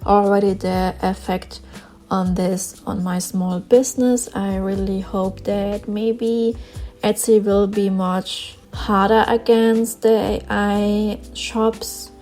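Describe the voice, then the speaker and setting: A woman's voice: mid-range voice, steady tone, takes it slowly, warm tone, soft background music. A woman with a German accent, a non-native English speaker, giving her views earnestly while she draws for her channel, sometimes searching for words. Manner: earnest, plain-spoken, with small word searches and repeats. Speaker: artist vlogger. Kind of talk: casual talk over a drawing session.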